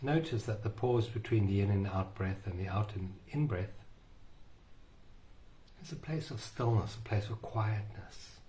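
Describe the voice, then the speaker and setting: A man guiding a meditation in a slow, soothing way with long pauses. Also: fairly low pitch, dark tone, slight background noise. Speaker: meditation guide. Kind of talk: guided meditation.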